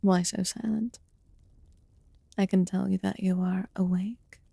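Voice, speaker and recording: A woman playing an ancient, aloof fae in a fantasy ASMR roleplay, murmuring softly and formally with some whispered phrases. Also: mid-range voice, little pitch movement, vocal fry, dry recording. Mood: aloof, commanding. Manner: slow, deliberate, archaic formal wording. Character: ancient aloof female fae recluse, haughty yet quietly caring.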